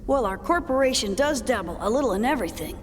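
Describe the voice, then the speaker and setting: A woman's voice: a little high, animated, quick pace, in a large hall, a little hiss or hum, close to an everyday voice. A woman voicing a blunt, self-assured executive who explains things colloquially in a sci-fi video game. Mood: matter-of-fact.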